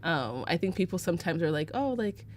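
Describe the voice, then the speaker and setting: A woman's voice: fairly low pitch, very animated, fairly quick, darkish tone. A young American woman from Arizona giving a casual live photo-editing tutorial, chatty and full of fillers, laughing easily. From United States (Arizona). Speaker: livestream presenter teaching photo editing.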